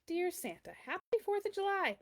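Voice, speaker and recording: Woman as an eager, curious little girl, high-pitched. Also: sing-song, normal speed. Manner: eager, questioning. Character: curious, earnest seven-year-old girl writing letters. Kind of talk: children's storybook read-aloud.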